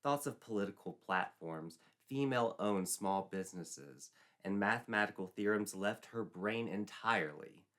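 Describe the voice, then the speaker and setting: Bright, forceful male storyteller, theatrical. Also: fairly low pitch, a little slow, hardly any pauses. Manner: mock-dramatic, arch. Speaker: storyteller, narrator. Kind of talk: theatrical comic scripted narration.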